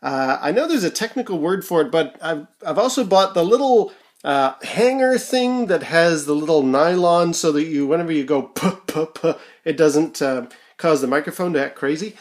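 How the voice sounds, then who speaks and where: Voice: mid-range voice, expressive, normal speed. A vlogger from Canada chatting casually through an unboxing, rambling and hesitant as they think aloud, recorded on an amateur home microphone. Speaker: YouTuber.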